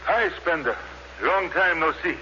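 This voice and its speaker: Plain-spoken spaceman, very sharp-edged voice. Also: a little high, expressive, unhurried, heavily acted. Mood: easygoing. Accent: American. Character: plain-spoken, easygoing part-Cherokee male space crewman. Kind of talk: 1950s American radio drama acting. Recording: muffled noisy old radio recording.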